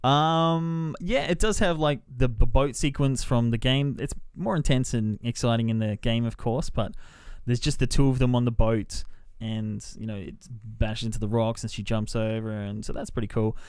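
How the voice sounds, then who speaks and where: A man's voice: mid-range voice, fairly even intonation, normal speed, few pauses, a little mellow, smooth, dry recording. A man hosting a podcast, giving a casual, sweary, colloquial review of a film. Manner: sweary, colloquial, loosely descriptive.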